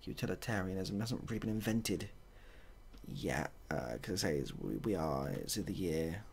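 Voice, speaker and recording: A man playing a mild, matter-of-fact polar bear in a storybook tale, hesitant and deadpan. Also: sits low, normal speed, pitched below the performer's own voice. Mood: mild, pondering. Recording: clearly audible background noise.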